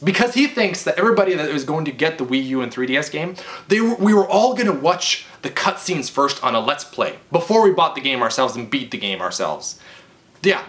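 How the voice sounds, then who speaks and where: A man's voice: on the high side, a bit bright. A man, giving an exasperated, emphatic off-the-cuff rant to camera, often stammering and restarting. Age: 20s. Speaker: video game vlogger. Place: living room, indoors. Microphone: webcam or camera at about arm's length.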